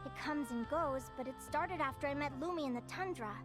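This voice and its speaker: A woman's voice: high-pitched, a little fast, over music, lightly acted. A woman voicing a cheerful, friendly young winged changeling girl in a video game. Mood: upbeat, earnest. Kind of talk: English video game voice acting.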